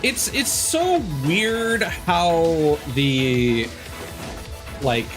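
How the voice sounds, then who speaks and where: Male game streamer: slightly high, animated voice, rambling sarcastic rants, game music behind. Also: extremely slow. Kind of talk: casual live game-stream chat.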